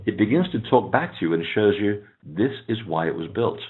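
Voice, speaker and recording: Low-voiced man on a phone line. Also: a little fast. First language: Portuguese. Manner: relaxed, many "uh" fillers. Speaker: author and guest. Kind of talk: phone interview, explaining at length.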